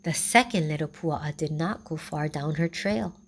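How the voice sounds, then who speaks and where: A woman reading a children's story slowly and gently. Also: sits low, slight background noise. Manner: slow, gentle, even reading. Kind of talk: storybook read-aloud.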